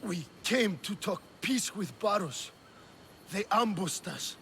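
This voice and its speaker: A man's voice: high voice, animated, takes it slowly, creaky, a bit bright, slight background noise, exaggerated voice. A man playing a weak, bitter wounded Greek sailor in a dramatic video game. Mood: pained, bitter.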